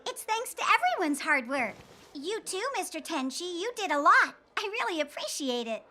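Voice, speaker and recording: A bubbly young shop girl in an anime dub. Her voice sits very high and swings widely, with a bright, sharp edge. Light music runs underneath. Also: very animated, normal speed, heavily acted. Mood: gentle. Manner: bubbly, exclaiming. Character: cheerful young woman sweet-shop hostess.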